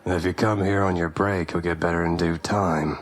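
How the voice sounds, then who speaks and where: An actor in an audio drama playing a gruff, dry-witted barkeep with a curt, grudging way of talking. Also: male voice, low-pitched, fairly even intonation, normal speed. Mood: dry, easygoing. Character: gruff, dry, grumbling male fantasy barkeep.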